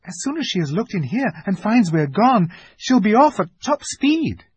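A man's voice: high voice, big pitch swings, moderate pace, mellow, husky, voice pushed up, full character voice. A man voicing an anxious young girl in a storybook, worried and pressing in manner.

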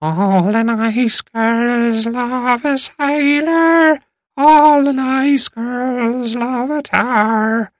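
A very old lady from a storybook, put on by a male narrator, chanting old ditties in a high, thin quaver. The delivery is sing-song and frail, recorded close and dry. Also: no room sound. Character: very old woman, thin-voiced, singing old music-hall ditties.

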